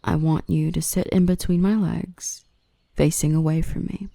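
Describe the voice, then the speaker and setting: A woman playing a gentle, nurturing, softly dominant dark elf in an intimate ASMR roleplay, speaking close up in a soothing, reassuring, often half-whispered voice. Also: deep voice, steady tone, normal speed, gravelly, dry recording. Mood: soothing, tender.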